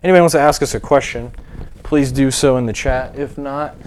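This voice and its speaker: A man's voice: medium pitch, a little fast, darkish tone, a little hiss or hum. A man hosting a live stream in casual chat, joking loosely and swearing freely. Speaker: show host. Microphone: desk mic, shared setup.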